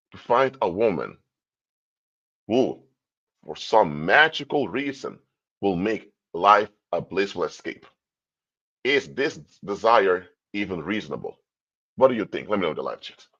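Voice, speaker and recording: Deep-voiced lecturing man, lo-fi sound. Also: very animated, unhurried, long pauses, fairly bright tone, breathy edge. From Curaçao. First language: Papiamento. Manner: lecturing, rhetorical questions. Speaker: commentator. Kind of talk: livestream commentary.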